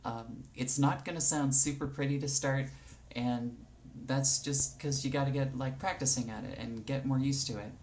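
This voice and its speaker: Young woman, very low pitch, casual tutorial chat, lots of ums, faint room noise. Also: moderate pace. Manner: relaxed, rambling with many fillers. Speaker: vlogger.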